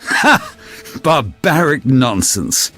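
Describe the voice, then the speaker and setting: An actor playing a pompous, suspicious Victorian naval commander in an audio drama, sneering and sarcastic. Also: male voice, medium pitch, huge pitch swings, very slow, sharp tone, slightly rough, faint music behind, exaggerated voice. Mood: scornful. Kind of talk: period audio drama acting.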